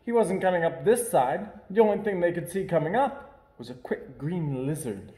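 Animated young man reading a storybook. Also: fairly high pitch, moderate pace. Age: young adult. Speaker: storyteller reading a picture book aloud. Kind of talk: expressive children's story read-aloud. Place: indoor room with hard walls. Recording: roomy indoor echo.

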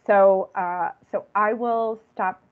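A woman lectures informally over a video call. Her pitch is average and she proceeds haltingly, reworking phrases as she speaks. The audio is dull and lo-fi. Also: fairly even intonation. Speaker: guest lecturer and author. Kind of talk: informal academic online talk. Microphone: computer video call.

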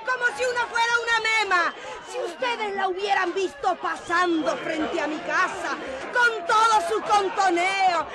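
Shrill, spiteful neighbor woman. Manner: shrill, scornful, mocking. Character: spiteful, gossiping, jealous neighbor woman in a mob. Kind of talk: radio drama acting. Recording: noisy, loud crowd behind.

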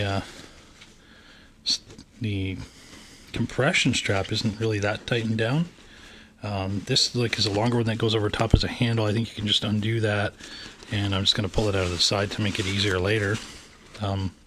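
Low-voiced Canadian man rambling over gear. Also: fairly bright tone, a little hiss or hum. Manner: rambling, hesitant with many fillers. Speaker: vlogger reviewing gear. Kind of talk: casual unboxing commentary. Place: indoors, room with wooden floor. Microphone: handheld camera pointed down.

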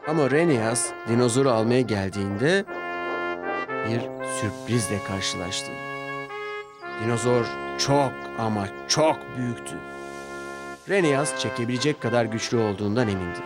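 A man's voice: medium pitch, normal speed. Male children's story narrator over brassy music. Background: orchestral music with brass.

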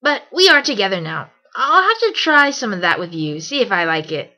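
Woman as a bright, brash cartoon pony. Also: medium pitch, sing-song, fairly quick. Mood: easygoing. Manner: confident, casual, bold. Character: brash, cocky young female pegasus pony. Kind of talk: amateur cartoon fan audio drama.

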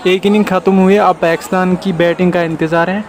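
A man's voice: high voice, level tone, fairly quick. A young man giving a casual walking-tour narration as a vlogger, filmed outdoors on a handheld phone. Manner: walking tour commentary, pointing things out. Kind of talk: casual travel vlog narration. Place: outdoors, metro station and stadium. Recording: loud street and crowd noise.

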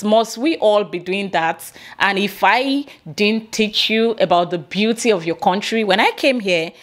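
A young woman with a Nigerian accent vents straight into the camera, emphatic and indignant. Her voice sits fairly low for a woman and shifts a lot in pitch as she presses each point. She is recorded close up in a small indoor room. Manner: indignant, emphatic, gestures while ranting. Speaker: vlogger. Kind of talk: opinion vlog to camera.

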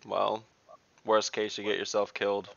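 Slow, gravelly deep-voiced man. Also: monotone, dry recording. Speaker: tabletop game player. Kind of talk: in-character roleplay. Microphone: video call. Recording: dry lo-fi video call audio.

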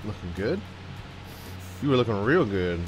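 A young man with a clogged, stuffed-up voice talks through a game he's playing. He's goofy and loose, cracks jokes and breaks into little sung riffs. Faint game music plays softly behind him. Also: on the low side, sing-song, moderate pace, rough recording. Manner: goofy, jokey, sings and riffs to himself. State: stuffed up from a cold. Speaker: gaming YouTuber. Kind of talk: playful let's-play commentary.

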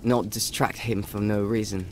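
An actor playing a dutiful, gentle village boy in a video game, speaking in an earnest storybook manner. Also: lowish voice, little pitch movement, moderate pace, a little hiss or hum, close to an everyday voice. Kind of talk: scripted video game narration and dialogue.

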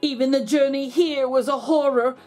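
A woman's voice: high-pitched, steady tone, moderate pace, darkish tone, faint music behind, lightly acted. A woman voicing an indignant, self-pitying pet dog in a children's storybook, pleading with dramatic emphasis. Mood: aggrieved pleading. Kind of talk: children's storybook read-aloud.

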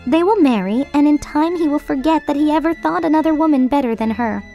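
A woman voicing a calm, dutiful young woman, an elder sister, who speaks in an earnest, restrained way. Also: high-pitched, fast, soft background music, pitched above the performer's own voice.

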